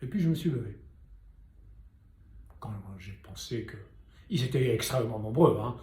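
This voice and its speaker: A man's voice: medium pitch, lively intonation, moderate pace, mellow. An older man, a painter and sculptor, telling a story and talking straight to viewers in a chatty, ironic way. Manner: chatty, ironic asides, addresses viewers directly. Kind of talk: storytelling talk to camera. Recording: echoey room.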